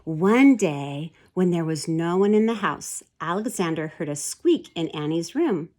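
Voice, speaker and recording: A woman's voice: fairly low pitch, expressive, a little slow, a little mellow. A woman reading a children's storybook aloud expressively and explaining things in a teacherly way. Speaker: storybook reader. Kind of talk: expressive children's read-aloud with picture commentary.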